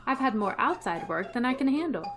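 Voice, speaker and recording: An actress playing a wry, quick-witted English teacher in a comedic radio play, dry and wisecracking. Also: female voice, a little high, big pitch swings, music in the background. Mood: friendly, wry. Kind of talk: comedic old-time radio play acting and narration.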